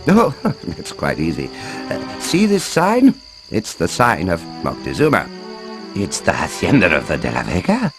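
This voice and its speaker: An actor playing a mild, learned professor who explains ancient lore with hesitant little chuckles in a game cutscene. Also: male voice, low-pitched, huge pitch swings, a little slow, music in the background, full character voice, poor audio. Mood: obliging, uneasy. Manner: expository storytelling with hesitant chuckles. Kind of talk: scripted video game cutscene acting.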